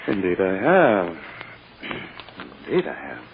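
Smug, oily, low-voiced courting prosecutor. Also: male voice, wildly swooping pitch, creaky, heavily acted. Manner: unctuous, drawn-out, self-important patter with little hums. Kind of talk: 1940s radio acting. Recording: muffled, hissy old radio recording.

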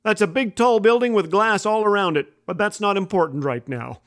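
A man playing a dry, unflappable, sarcastic air traffic controller giving deadpan official instructions. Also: high-pitched, brisk.

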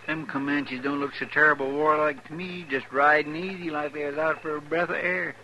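An actor playing an anxious, folksy deputy sidekick with a rural Western American drawl in a 1950s radio western. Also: male voice, on the high side, fairly even intonation, brisk, bright, forceful tone. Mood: uneasy. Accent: rural American West. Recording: noisy, hissy vintage radio recording.

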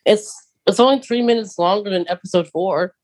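A man's voice: high-pitched, brisk, darkish tone. A man co-hosting a podcast, talking casually and eagerly as a big fan, with plenty of ums. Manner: enthusiastic fan, talkative, many fillers. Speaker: podcast co-host.

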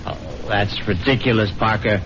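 Man playing an anxious, wry, low-voiced husband. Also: steady tone, moderate pace, fairly bright tone, a little hiss or hum, natural voice. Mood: exasperated. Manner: hesitant, exasperated, wry. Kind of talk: first-person radio drama narration. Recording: muffled 1970s radio recording.

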